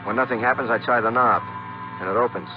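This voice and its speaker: An American actor playing a breezy, flirtatious, slangy drifter working as a steward in a 1950s radio drama. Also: male voice, medium pitch, fast, close to an everyday voice. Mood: hardboiled, wry. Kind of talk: 1950s radio drama acting, hardboiled first-person narration. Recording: dull old 1950s radio recording with music.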